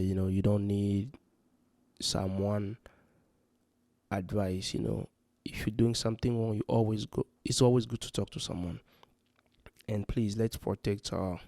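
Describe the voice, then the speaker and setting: A man's voice: on the low side, gravelly, a little mellow, no echo, clean studio sound. A man from Congo, talking earnestly and rambling in a casual vlog, full of "you know" fillers. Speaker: vlogger giving parenting advice. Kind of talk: casual spoken vlog monologue. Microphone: handheld or close mic.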